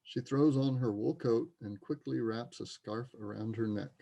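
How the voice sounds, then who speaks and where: A man reads scripted stage directions as the narrator of a play. His voice is creaky and mellow, sitting at a medium pitch. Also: moderate pace.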